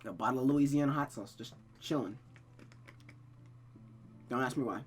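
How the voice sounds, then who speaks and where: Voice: medium pitch, moderate pace. A streamer narrating a visual novel aloud, with casual commentary.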